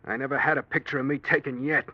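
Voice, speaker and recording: An actor playing a tough, streetwise gangster in a 1940s radio crime drama, husky, blunt and slangy. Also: male voice, mid-range voice, fairly even intonation, fast talker, lightly acted. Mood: wary, grumbling. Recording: muffled vintage radio drama recording.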